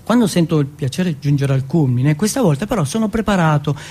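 A man's voice: fairly high pitch, lively intonation, a little fast, a little mellow. A man from performing an autobiographical monologue in a colloquial, streetwise manner. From Turin, Italy.

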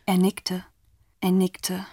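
An actress playing a grave, haunted narrator in a literary radio drama, telling the story in a measured, deliberate way. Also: female voice, fairly low pitch, completely flat delivery, mellow, no room sound, clean studio sound, heavily acted. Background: music.

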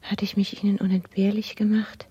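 A woman playing an anxious, humble old maidservant of 59 in a radio play, with a breathy, half-whispered, brooding delivery. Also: medium pitch, flat delivery, brisk, warm tone, dry recording, muffled recording. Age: older. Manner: subdued, repetitive, brooding. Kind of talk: 1960s radio play monologue.

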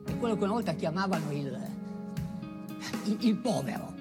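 A woman delivering an impassioned theatrical monologue, emphatic and deliberate, repeating phrases for effect. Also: medium pitch, lively intonation. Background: music.

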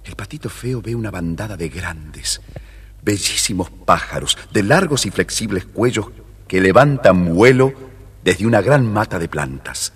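A man's voice: deep voice, animated, unhurried, slightly rough. A man narrating a scripted children's story as the storyteller. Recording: faintly noisy vintage story record.

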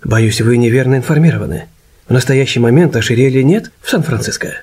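An actor playing a courteous, gentle man of nearly sixty in a radio play, speaking softly and carefully. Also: medium pitch, brisk, breathy edge, lightly acted. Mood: polite. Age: older. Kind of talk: Russian radio play acting. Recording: loud music under studio voice.

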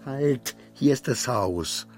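An actor in a radio play playing a flustered, proud, insecure minor clerk who rambles between pompous declarations and muttering. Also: male voice, medium pitch, animated, slow, faint music behind. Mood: nervous, hurried.

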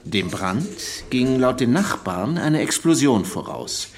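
A methodical detective in a radio play, pointed storyteller, voice moves up and down a lot. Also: male voice, medium pitch, big pitch swings, slow. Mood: measured, pointed. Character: shrewd, methodical male police detective. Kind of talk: radio drama acting.

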